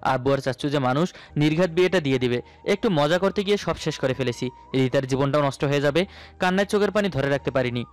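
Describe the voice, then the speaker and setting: A man's voice: on the high side, quick pace. A man narrating a romantic story in the first person, in a dramatic storytelling style. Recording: music bed under the voice. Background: background music.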